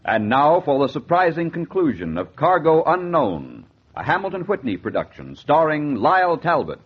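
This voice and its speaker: An actor as a theatrical, portentous, teasing announcer for a 1940s radio suspense series. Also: male voice, a little high, very animated, normal speed, slightly rough. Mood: dramatic announcing. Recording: dull, muffled 1940s radio recording.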